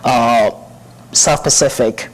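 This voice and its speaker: A man's voice: mid-range voice, steady tone, takes it slowly, rough recording. A man from Haiti, a company founder speaking earnestly and leaning on "pretty much" as he gives a TV interview. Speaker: fashion company founder, guest.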